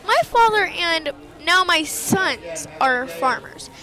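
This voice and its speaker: High, bright, slow silk seller played by a woman. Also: sing-song, soft background music, exaggerated voice, low-quality recording. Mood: proud, selling. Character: Chinese silk seller, proud family weaver. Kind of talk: amateur audio play acting.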